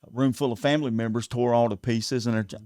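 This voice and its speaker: A man with a Southern US accent talks in an earnest, plain way, often restarting and repeating words as he lays out his points. His voice sits at a middle pitch. The sound is dry and close, with no room echo. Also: steady tone, quick pace, darkish tone. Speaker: sheriff candidate. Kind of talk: casual interview talk. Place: local TV studio.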